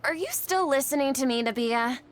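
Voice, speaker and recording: A woman's voice: high voice, lively intonation, fairly quick, over music. A woman voicing an earnest, self-doubting young woman in a video game, polite and a little hesitant. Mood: puzzled. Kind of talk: video game character acting.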